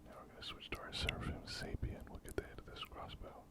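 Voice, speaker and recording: An ASMR host narrating a miniature painting tutorial step by step in a breathy, gentle, unhurried whisper, close to the microphone.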